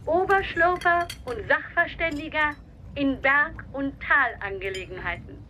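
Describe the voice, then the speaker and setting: An actress playing the formal, mock-ceremonious announcer of an imperial society in a historical radio play. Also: female voice, high-pitched, a little slow, lo-fi sound, crowd noise. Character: official announcer of the Kaiser's travel society.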